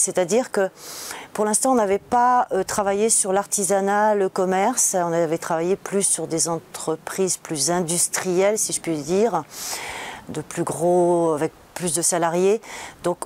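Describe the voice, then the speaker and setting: Lowish-voiced woman, informally explaining. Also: mellow. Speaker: local elected official. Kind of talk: testimonial interview.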